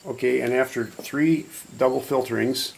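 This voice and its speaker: A man with a creaky, gravelly voice at an ordinary male pitch gives an informal hands-on demonstration. He explains each step in a matter-of-fact way, with faint noise behind him. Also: steady tone, normal speed, rough recording. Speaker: hobbyist demonstrator.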